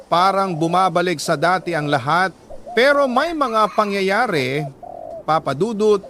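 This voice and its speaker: A man with a Filipino accent reads a letter aloud as a radio storyteller, in dramatic first-person narration with emotive, deliberate phrasing and pauses. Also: sits high, a bit bright, a little hiss or hum.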